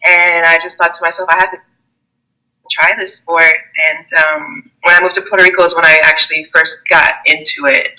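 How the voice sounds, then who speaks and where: A woman's voice: on the low side, little pitch movement, moderate pace, pushed and bright, rough recording. A woman in her thirties who grew up in Germany and the United States, a Muay Thai fighter chatting as an interview guest, laughing often and restarting her sentences. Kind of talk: casual interview conversation.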